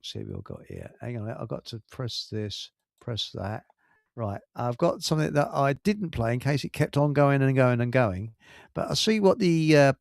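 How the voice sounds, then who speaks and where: A man's voice: medium pitch, gravelly, no room sound, clean studio sound. A man hosting a live radio show in casual, rambling chat, often muttering to himself.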